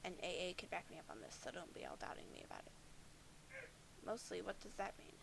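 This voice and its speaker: A woman's voice: on the low side, wildly swooping pitch, fast talker, vocal fry, whispered, full character voice, rough recording. A woman voicing a bitter, foul-mouthed alien troll boy who sees himself as a prophet of doom, sardonic and defensive.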